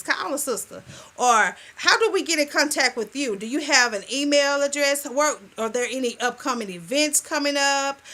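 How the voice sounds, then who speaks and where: A woman hosting a radio talk show in casual, playful chat, with a soft voice and a sassy, lively manner. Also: on the high side. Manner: lively, playful, sassy, calls listeners "girl" and "y'all".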